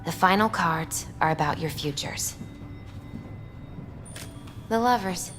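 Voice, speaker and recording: A woman playing a calm, mystical tarot reader in a video game, speaking gently in a measured, reflective way. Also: fairly low pitch, over music.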